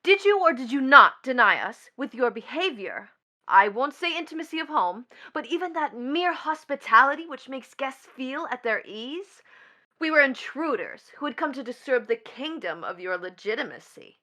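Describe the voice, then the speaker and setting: A woman's voice: sits high, big pitch swings, moderate pace, pushed and bright, exaggerated voice. A woman playing a scornful, impudent young stepdaughter in a stage play, speaking with biting, declamatory drama. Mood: scornful, accusing. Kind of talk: theatrical stage-play reading.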